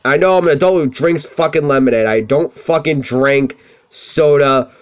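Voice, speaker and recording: A young man with a high-pitched voice, swearing freely as he tells rambling stories over gameplay. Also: normal speed. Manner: profane, rambling, self-deprecating. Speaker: let's play commentator. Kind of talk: casual gameplay commentary with storytelling.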